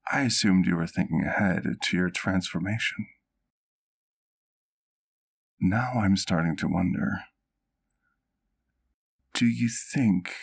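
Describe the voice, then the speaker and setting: A man's voice: fairly low pitch, vocal fry, a little mellow, exaggerated voice. A man playing a courtly, doting vampire doctor in an ASMR roleplay, speaking intimately to the listener in formal, precise words. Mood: measured, curious, then delighted. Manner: formal, precise, measured wording.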